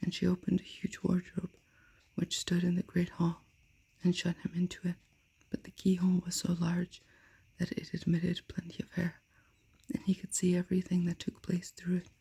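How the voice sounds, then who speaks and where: A woman reads a fairy tale in a soft whisper. Her breathy voice is dark and almost flat, with a faint gravelly texture. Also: fairly low pitch, moderate pace. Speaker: storyteller. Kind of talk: whispered ASMR storytelling.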